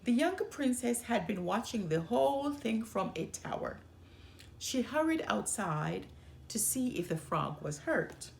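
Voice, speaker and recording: Female children's story narrator, slow and deliberate, highly animated, with noticeable vocal fry. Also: a little hiss or hum. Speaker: storytime host.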